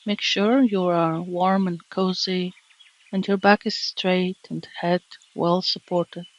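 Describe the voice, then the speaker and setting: A woman meditation teacher narrating a guided relaxation in a gentle, calming, measured way with long pauses. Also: on the low side, dry recording, lo-fi sound. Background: birdsong and nature sounds.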